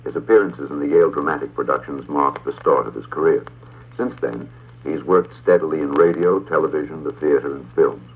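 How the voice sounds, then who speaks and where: A man, middle-aged, narrating a script in a measured, formal way with precise diction, on an old talking-book recording. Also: extremely low pitch, slightly rough, dull audio. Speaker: audiobook narrator and presenter.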